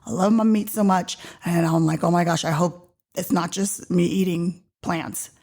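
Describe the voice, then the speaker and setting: Woman in her forties, podcast host; low, husky voice with fry, casual and animated in telling her story. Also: normal speed. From Georgia, USA. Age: 40s. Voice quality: deep, loud voice. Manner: chatty and animated, drops into whispers for effect.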